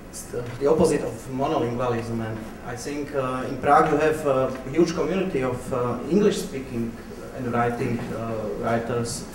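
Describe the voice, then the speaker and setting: A man from Slovakia with a Slovak accent, speaking as a panel guest, halting and repeating words as he searches. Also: mid-range voice. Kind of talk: unscripted panel answers. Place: public event room, recorded at a distance. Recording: distant echoey hall, clearly noisy.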